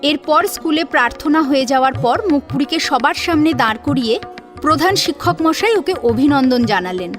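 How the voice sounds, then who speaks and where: A female narrator reads a scripted audio story in a dramatic style. Her voice is high-pitched, with soft music playing behind it. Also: lively intonation, moderate pace.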